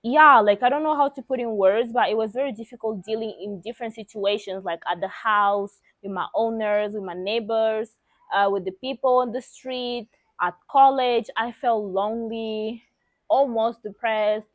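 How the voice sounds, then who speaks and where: A woman's voice: on the high side, moderate pace, no room sound. A young woman from Mozambique with a Mozambican accent and Portuguese as her native language, telling personal stories casually to viewers in a candid, emphatic way. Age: young adult. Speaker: vlogger.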